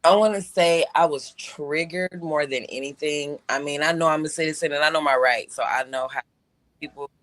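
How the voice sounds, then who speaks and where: A man's voice: on the high side, moderate pace, a bit bright, dry recording. A man co-hosting a casual talk show, telling a personal story with lots of restarts and fillers. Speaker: show co-host. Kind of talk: casual podcast chat.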